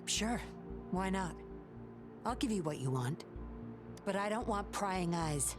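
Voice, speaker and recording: A woman playing a composed, sly undercover patroller in a video game, coolly confident and measured. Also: mid-range voice, animated. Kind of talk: scripted video game voice acting. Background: game music.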